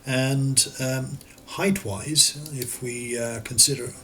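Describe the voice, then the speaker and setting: A man with an Irish accent teaching architectural CAD over a screen recording, relaxed and thinking aloud as he works, often correcting himself. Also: medium pitch, takes it slowly. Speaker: architectural designer and CAD tutor. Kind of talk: screen-recorded software tutorial.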